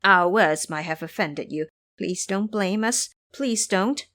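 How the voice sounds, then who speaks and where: A woman's voice: medium pitch, takes it slowly. A woman voicing a gullible young male demon imp, an eager, naive servant, in an audio drama. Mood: awed, apologetic. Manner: excitable, earnest, naive. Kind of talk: audio drama character acting.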